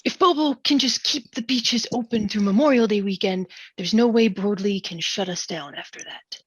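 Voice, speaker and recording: A woman with a husky voice playing a pompous, scheming small-town mayor at a table read of a comedy musical. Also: medium pitch, moderate pace, no room sound, natural voice, low-quality recording. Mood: scheming, insistent. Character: self-important, scheming small-town mayor named Bobo.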